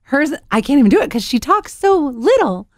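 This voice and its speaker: A woman's voice: sits high, big pitch swings, normal speed, no echo. A young woman co-hosting a podcast over a video call, telling stories, laughing a lot and mimicking people. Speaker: podcast co-host. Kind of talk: casual chat. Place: home office.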